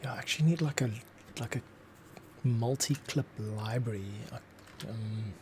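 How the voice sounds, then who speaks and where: A man's voice: mid-range voice, moderate pace, a little mellow, slight background noise. A man giving casual work-along commentary as a composer, muttering to himself in fragments. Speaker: composer, host. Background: music, computer keyboard clicks.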